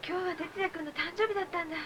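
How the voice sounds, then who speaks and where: A young woman played by an amateur actress in a low-budget horror film speaks in a very high voice. The old film soundtrack is clearly noisy around her. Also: fairly even intonation, very fast. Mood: musing.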